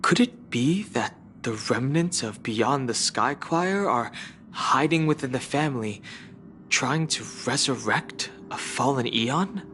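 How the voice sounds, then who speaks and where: A man's voice: medium pitch, expressive, takes it slowly, bright, forceful tone, a little hiss or hum. A man playing a reserved, analytical young companion in a video game, reasoning seriously. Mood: skeptical, serious. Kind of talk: English video game voice acting.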